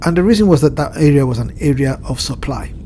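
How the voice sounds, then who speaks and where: A man with a blocked nose and a slightly nasal voice, walking through a chart with measured explanations and frequent pauses to find his words. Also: medium pitch, expressive, dark tone, a little hiss or hum. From Southern Hemisphere. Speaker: crypto market analyst. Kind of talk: screen-share chart walkthrough. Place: home at evening, indoors.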